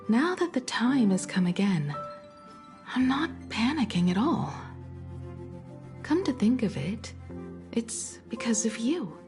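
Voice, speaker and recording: Game role: composed woman navigator and mentor, lowish pitch, measured and tender delivery, music behind. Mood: warm, reassured. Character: composed, caring woman starship navigator, proud and nostalgic mentor. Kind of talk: video game story dialogue.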